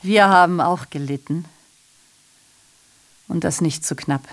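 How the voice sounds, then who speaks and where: A woman's voice: fairly low pitch, a little slow. A woman in a radio drama playing a sardonic messenger who reports on war and ruin with rhetorical questions and ironic asides. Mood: bitter, defiant. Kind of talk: literary radio drama monologue.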